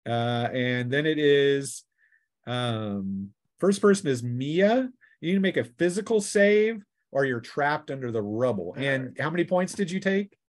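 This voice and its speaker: A man speaks as game master of a tabletop role-playing session, unscripted and conversational. He slips between describing the action and counting out points and rules, in a mid-pitched voice. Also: expressive, a little slow.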